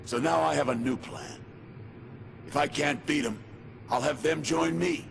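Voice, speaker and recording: A man voicing a menacing, vengeful shapeshifting clay monster in a video game, theatrical, taunting and gravelly. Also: on the high side, measured pace, noisy background. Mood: scheming. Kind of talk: video game cutscene acting. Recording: loud music over the voice.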